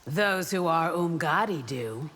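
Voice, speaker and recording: A woman playing a proud, devout and fierce bodyguard warrior in a video game, speaking in a formal, defiant, measured way. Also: deep voice, animated. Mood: earnest, firm. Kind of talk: video game character acting. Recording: long reverb, faint noise behind.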